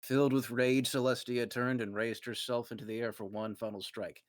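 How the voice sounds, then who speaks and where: A man's voice: mid-range voice, little pitch movement, normal speed, no room sound. Man narrating fan fiction, dry close mic. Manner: dramatic storytelling read aloud, occasional stumbles.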